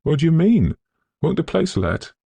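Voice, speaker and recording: Brash young gentleman played by a male narrator, Victorian ghost story, high and exclamatory, gravelly edge. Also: moderate pace, dry recording, full character voice. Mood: curious. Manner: animated, exclamatory, theatrical period phrasing. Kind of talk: dramatised audiobook ghost story.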